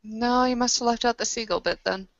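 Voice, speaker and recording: A woman's voice: on the high side, fairly quick, no echo, lo-fi sound. A woman improvising tabletop roleplay conversation in a hesitant, questioning manner.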